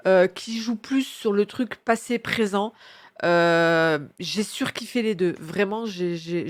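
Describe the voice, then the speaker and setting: A woman with a head cold gives casual, enthusiastic book recommendations in a slangy, rambling way, often correcting herself. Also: mid-range voice. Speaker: book reviewer presenting her reading picks.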